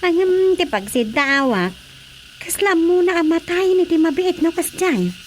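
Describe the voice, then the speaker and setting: An actress as a gossipy village woman in a radio drama. Her voice is very high and she draws words out slowly, mocking and gloating. Faint noise lies behind it. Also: animated. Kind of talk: Ilocano radio drama acting.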